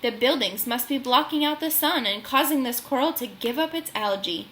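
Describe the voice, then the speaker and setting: Woman voicing a bright, confident captain bear. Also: sits high, normal speed, slight background noise.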